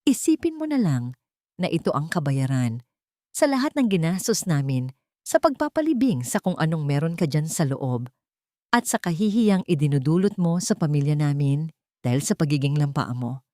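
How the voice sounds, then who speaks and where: A woman's voice: low voice, sing-song, moderate pace, warm tone, no room sound, clean studio sound. Woman voicing a cold, contemptuous rich mother-in-law. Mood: cold, demanding. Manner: callous, blaming, imperious. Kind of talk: dramatic audio storybook dialogue.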